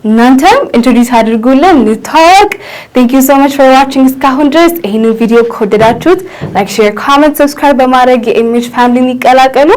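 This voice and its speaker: Fast-talking Ethiopian woman teaching English. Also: high-pitched, a little mellow, rough recording. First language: Amharic. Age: 20s. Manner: quick, switches between languages, slows to spell out English phrases. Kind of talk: bilingual English lesson.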